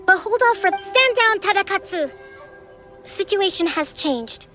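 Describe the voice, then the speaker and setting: A woman playing a gentle, shrewd young strategist in a video game, polite and measured. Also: very high voice, lively intonation, music in the background. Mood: composed, firm. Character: composed young female strategist leader, gentle, diplomatic, shrewd. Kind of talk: English video game voice acting.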